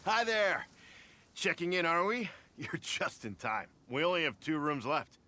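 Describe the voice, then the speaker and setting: A bright, chirpy innkeeper. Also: male voice, a little high, sing-song, a little slow, slight background noise, exaggerated voice. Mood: cheerful, busy. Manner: upbeat, welcoming, talkative. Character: cheery, chatty, eager male innkeeper. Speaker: hotel keeper. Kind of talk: video game voice acting.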